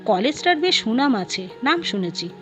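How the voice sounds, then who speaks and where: A woman's voice: fairly high pitch, big pitch swings, normal speed, a bit bright. A woman storyteller voicing a confident, probing young male suitor, courteous and matter-of-fact. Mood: confident, testing. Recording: dull lo-fi sound with music behind.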